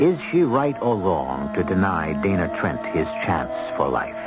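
A man narrating a radio drama as its host in an ominous, theatrical manner. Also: low voice. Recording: dull old radio broadcast. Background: loud music.

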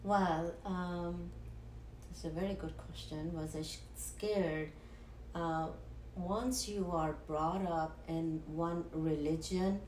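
A woman, giving a halting personal testimony as a guest, with many pauses and ums. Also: sits low, darkish tone, a little hiss or hum. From Punjab, India. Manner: halting, with frequent ums and restarts. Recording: echoey room.

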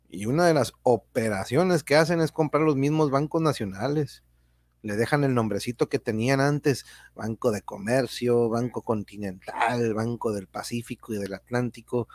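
A man acting as an indignant, folksy man with a mid-pitched voice. He explains emphatically, tossing in rhetorical questions, in a dry-sounding homemade radio drama. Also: no room sound, close to an everyday voice. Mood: warning. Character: indignant, folksy man explaining how foreign banks exploit people. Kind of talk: amateur radio drama reading.

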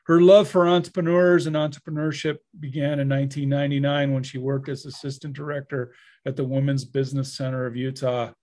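A man's voice: mid-range voice, flat delivery, brisk, darkish tone. A man hosting a podcast, introducing a guest and asking friendly, jokey questions. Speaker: podcast host. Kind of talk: podcast interview.

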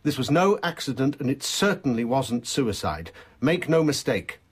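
Distraught, husky British man, swooping pitch. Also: very animated, moderate pace. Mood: insistent, disbelieving. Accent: British English. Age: 30s. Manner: agitated, emphatic, insistent. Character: distraught, emphatic well-dressed man in his thirties. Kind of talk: British radio drama acting.

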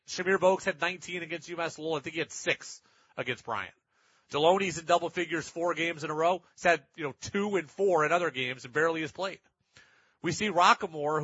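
Male sports radio host, slightly high and forceful, emphatic and repetitive for stress, dry slightly dull broadcast sound. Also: breathy edge, dry recording. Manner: emphatic, repeats phrases for stress. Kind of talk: sports talk commentary.

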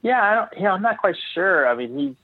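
A man speaking as a guest in a casual interview, in a halting, tentative way with trailing sentences and restarts, over a call. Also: high-pitched, big pitch swings, no room sound. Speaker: interview guest, clothing designer. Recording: tinny phone-like line.

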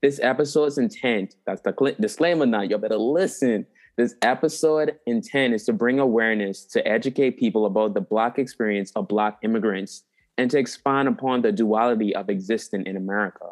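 A young man hosting a casual interview podcast, animated and playful, laughing often and drawing out names for fun. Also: on the low side, brisk. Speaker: podcast host.

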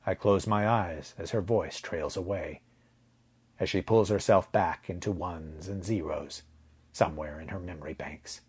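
A man reading first-person fiction in a dramatic, husky, breathy voice. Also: low voice, measured pace. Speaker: story narrator.

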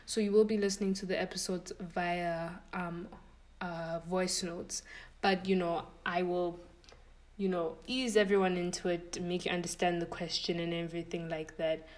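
A woman's voice: fairly low pitch, little pitch movement, moderate pace. A young woman hosting a podcast, talking casually and thinking aloud in a string of questions. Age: young adult. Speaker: podcast host.

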